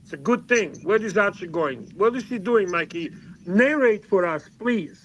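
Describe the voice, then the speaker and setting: Male co-host from Serbia, native Serbian speaker, high voice, casual teasing banter with swearing. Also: animated, normal speed, a bit bright, slight background noise, poor audio. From Serbia, Belgrade.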